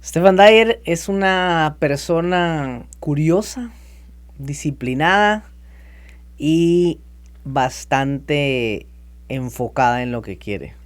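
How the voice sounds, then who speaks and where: A man in his thirties from Costa Rica, telling his story as a podcast guest in a lively, chatty way. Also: fairly high pitch, very slow, smooth. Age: 30s. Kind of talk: casual interview talk.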